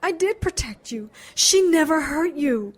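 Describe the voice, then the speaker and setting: A woman's voice: high voice, expressive, a little slow. A woman playing a protective, defensive big sister in an audio drama, earnest and emotional. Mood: pleading, defensive.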